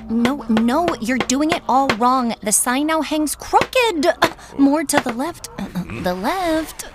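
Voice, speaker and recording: A woman's voice: high voice, very animated, slow, loud music behind the voice, full character voice. A woman playing a bossy, catty Puritan village wife in a comic audio drama, fussy and falsely sweet. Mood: bossy, nagging.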